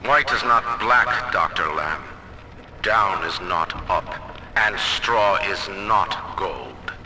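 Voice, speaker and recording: A proud rationalist founder in a video game debate. His deep voice is very forceful and cutting, delivered slowly like an oration over faint noise. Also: expressive, heavily acted, rough recording. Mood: scornful, certain. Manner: oratorical, emphatic. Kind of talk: staged debate speech in a game.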